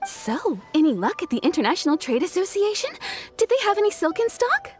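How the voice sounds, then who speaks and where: Busy shop owner for a game: bright high voice, animated. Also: female voice, brisk, soft background music. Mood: upbeat. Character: busy cheerful female shopkeeper. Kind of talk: video game dialogue.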